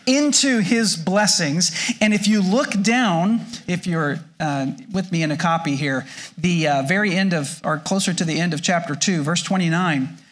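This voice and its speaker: A man's voice: high-pitched, a bit bright. A pastor preaching a sermon to his church, explaining scripture with frequent restarts and repeated words.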